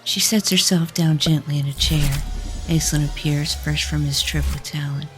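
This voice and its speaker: Very low-voiced woman narrating fantasy. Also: steady tone, normal speed, fairly bright tone. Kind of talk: scripted fantasy story narration. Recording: faint music behind the voice.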